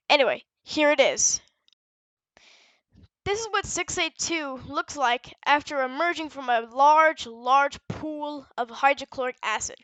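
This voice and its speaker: Bright, high-voiced woman narrator. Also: no echo. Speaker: narrator presenting a fictional research audio log. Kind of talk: semi-scripted explanatory narration.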